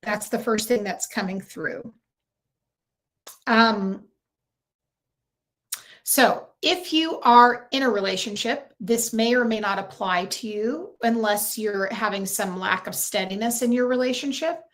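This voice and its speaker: A woman hosting a casual tarot livestream, talking warmly to viewers and reading out their comments, with frequent fillers and restarts. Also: fairly high pitch, measured pace.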